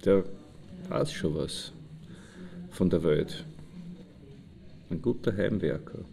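A man's voice: deep voice, slow, creaky, dark tone. A man reminiscing casually in short, plain phrases.

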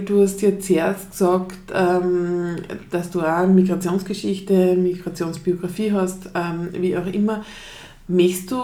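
Lady hosting a podcast, chatty questions, lowish voice, echoey room. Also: warm tone. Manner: conversational, asks open questions. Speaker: podcast host. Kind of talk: interview. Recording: roomy echo.

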